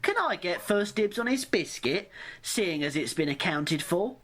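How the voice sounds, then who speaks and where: A woman's voice: on the low side, sing-song, unhurried, fairly bright tone, heavily acted. A woman playing a cheeky, hungry, argumentative train passenger in an amateur radio drama, mocking and grumbling. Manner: sarcastic, argumentative.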